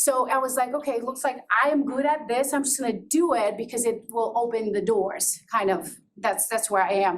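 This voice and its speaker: A middle-aged woman from Azerbaijan, with Georgian as her first language, giving a candid personal talk to an audience, laughing often and restarting her sentences. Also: on the high side. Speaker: presenter. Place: presentation room. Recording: voice from far off with faint noise.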